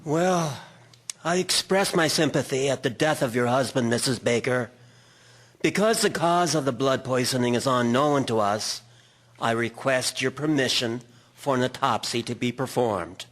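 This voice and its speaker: A man acting as an earnest nineteenth-century doctor in an audio drama. He has a mid-range voice. His wording is formal and measured, like a stage professional addressing others. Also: medium pitch, a bit bright, lightly acted. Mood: solemn, formal.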